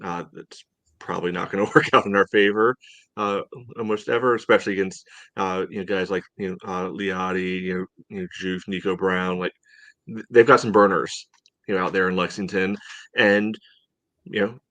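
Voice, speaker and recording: Man, low voice, dry close sound, casual sports analysis, hedging, filler-heavy podcast co-host. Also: steady tone, bright, forceful tone, no room sound. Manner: measured, hedging, filler-heavy with "you know".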